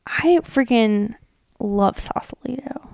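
A woman's voice: on the high side, lively intonation, takes it slowly, vocal fry, a little mellow, dry recording, clean studio sound. An American woman co-hosting a podcast, retelling things casually in informal, slangy speech peppered with "like". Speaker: podcast co-host. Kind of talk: casual chatty retelling and commentary.

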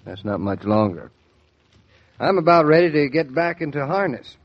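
An actor playing a teasing, stubborn but affectionate young American husband with wry, casual banter, in old-time radio drama style. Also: male voice, fairly high pitch, very animated, moderate pace. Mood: earnest. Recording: muffled vintage radio transcription.

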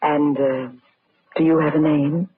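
A woman's voice: low-pitched, level tone, unhurried, a little hiss or hum. A woman playing a guarded, coolly persuasive woman in trouble, in 1940s radio acting style. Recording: muffled, narrow-band old radio with music.

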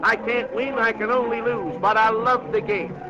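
A man's voice: extremely high pitch, steady tone, moderate pace. A man acting as a brash, slick carnival game barker in a 1940s radio play, with folksy, slangy patter. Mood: showy, jovial. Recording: dull, noisy 1940s radio broadcast.

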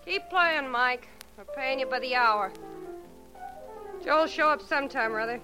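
A woman's voice: high-pitched, unhurried, rough recording. A woman playing a bold, flirtatious show-business woman in 1940s radio drama, with slangy, casual, teasing, clipped phrases. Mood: bossy, breezy. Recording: vintage 1940s radio recording with music.

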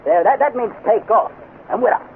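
An actor playing a brash, boastful, easily flustered space adventurer telling tall tales in a 1950s radio serial. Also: male voice, very high-pitched, expressive, a little fast. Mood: excited bragging. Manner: bragging, easily flustered, slangy. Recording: noisy, lo-fi 1950s radio recording.

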